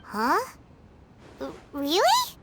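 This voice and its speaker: A woman voicing a tiny, cheeky, childlike fairy companion in a video game, blunt and excitable. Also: sits high, drawn-out words, heavy background noise, heavily acted. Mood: surprised. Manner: speaks of herself in third person, blunt, exclamatory. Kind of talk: animated video game voice acting. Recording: music playing behind the voice.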